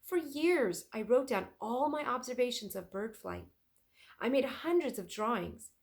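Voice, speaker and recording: A woman voicing an excitable, dreamy older male inventor and artist in a children's storybook, dramatic and emphatic. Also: on the high side, measured pace, pitched above the performer's own voice. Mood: earnest, dreamy. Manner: emphatic, exclaiming. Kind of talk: children's storybook read-aloud.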